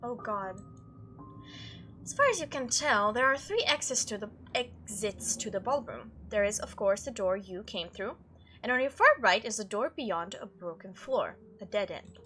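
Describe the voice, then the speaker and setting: A woman's voice: mid-range voice, animated, moderate pace, pushed and bright, a little hiss or hum, poor audio. A woman doing a let's play, reading game text aloud and reacting in a chatty, playful way. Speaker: video game streamer doing a playthrough.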